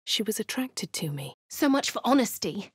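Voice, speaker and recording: A woman's voice: medium pitch, sing-song, moderate pace, husky, dry recording, clean studio sound. Posh, wry female adventurer. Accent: posh English. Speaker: player character. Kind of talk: game voice lines and narration. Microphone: close studio mic, dry.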